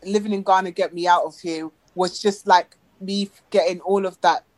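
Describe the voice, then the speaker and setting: A woman with a British accent from England, a lively guest telling stories in a casual interview and acting out the voices of the people she quotes. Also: lowish voice, moderate pace, no room sound. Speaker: guest, animator.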